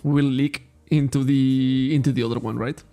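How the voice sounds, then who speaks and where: Gravelly Catalan-accented man, rambling casually. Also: medium pitch, steady tone, moderate pace, dark tone, soft background music. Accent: Catalan-accented English. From Spain. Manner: rambling, self-correcting, laughs often. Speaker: trading card shop streamer. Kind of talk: casual livestream chat.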